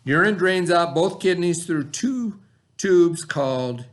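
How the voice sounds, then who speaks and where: A man's voice: high voice, animated, slow pace. A middle-aged man reading a lesson aloud to children as their teacher, with simple, instructive explanations.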